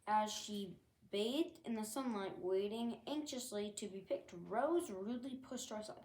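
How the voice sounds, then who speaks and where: A woman reading a children's picture book aloud as a storyteller, with expressive narration. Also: mid-range voice, moderate pace, reverberant.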